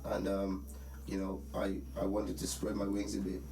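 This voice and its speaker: A young man from Nigeria, a musician, talking about his music in a relaxed, halting way with many pauses, over a music bed in a roomy space. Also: sits low, creaky. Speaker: musician interviewee. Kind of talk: casual interview talk. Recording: roomy sound with music behind.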